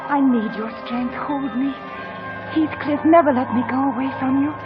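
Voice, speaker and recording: An actress playing a proud, willful, passionate young gentlewoman in mid-century radio drama, with intense, theatrical, haughty delivery. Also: sits high, moderate pace, lightly acted. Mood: passionate, pleading. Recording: dull old radio recording with music.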